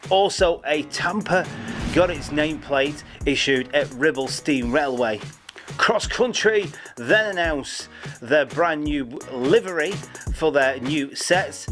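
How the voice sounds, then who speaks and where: A man's voice: a little high, takes it slowly, a bit bright, soft background music. A man hosting a railway news roundup in a chatty, jokey way, sometimes stumbling over names. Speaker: host of a railway news show. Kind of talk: upbeat news roundup presenting.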